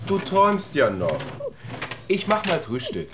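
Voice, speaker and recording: Low-voiced, coaxing parent figure. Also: female voice, huge pitch swings, measured pace. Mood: gentle. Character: coaxing, affectionate grown-up talking to a child. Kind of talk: children's radio drama acting. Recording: roomy echo, clearly noisy background.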